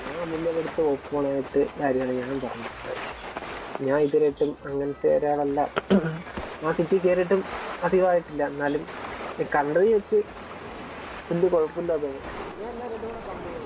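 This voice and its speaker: A man's voice: fairly high pitch, moderate pace, pauses often. A man chatting casually in an online roleplay game. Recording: noisy game voice chat.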